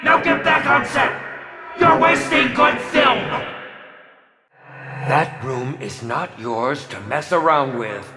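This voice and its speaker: A man's voice: a little high, sing-song, slow, long pauses. A man playing a pompous, maniacal horror film director in a video game, theatrically exasperated and melodramatic. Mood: exasperated ranting. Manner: pompous, melodramatic, swooping from sly to shrieking. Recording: echoey hall reverb with music behind.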